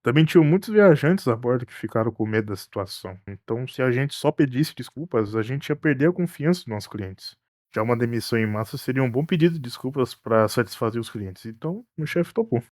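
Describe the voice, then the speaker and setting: A man acts out a cruise ship captain looking after his own career. His mid-pitched voice has a Brazilian accent and a colloquial, matter-of-fact delivery, recorded dry for animation. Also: animated, fairly quick, a little mellow, no echo, lightly acted. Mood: confessing. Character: teasing, self-protective cruise ship captain. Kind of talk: animated murder-mystery dialogue.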